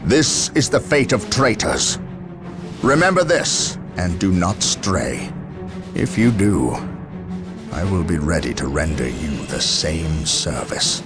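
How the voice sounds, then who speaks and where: A man playing a stern, battle-hardened space marine captain in a video game cutscene, grave and deliberate. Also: low-pitched, expressive. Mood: cold judgment. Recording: dramatic music behind the voice.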